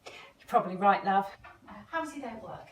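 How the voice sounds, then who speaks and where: A woman with an English accent playing a homely, affectionate, gently nagging wife in an amateur play, chatty and fussing. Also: medium pitch, animated, brisk, close to an everyday voice. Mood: fond. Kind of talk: amateur stage play acting, recorded at home. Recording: roomy, distant mic, faint noise.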